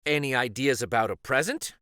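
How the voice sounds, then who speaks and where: A man voicing earnest, excitable young schoolchildren in a picture-book read-aloud, speaking in an eager, expressive way. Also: mid-range voice, fairly quick, dry recording, studio quality.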